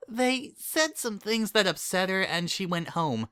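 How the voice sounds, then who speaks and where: A man's voice: high-pitched, expressive, a little slow, sharp tone, clean tone, pitched above the performer's own voice, exaggerated voice. A man voicing a protective, no-nonsense mare shopkeeper in a pony story, with a scolding, sceptical manner. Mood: subdued. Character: protective, no-nonsense yellow mare minding candy shop.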